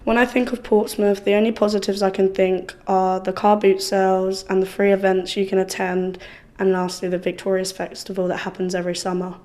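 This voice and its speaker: A woman's voice: medium pitch, little pitch movement, a little fast, darkish tone. A 20-year-old woman, speaking bluntly in personal testimony for a short film. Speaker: young film participant.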